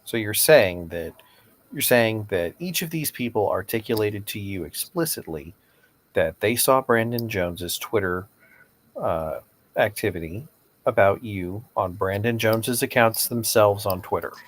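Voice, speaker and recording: Man reading as persistent low-voiced attorney. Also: expressive, a little hiss or hum. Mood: insistent. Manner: formal, pressing, deliberate. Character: persistent questioning attorney in a deposition. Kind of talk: amateur read-aloud of a deposition transcript.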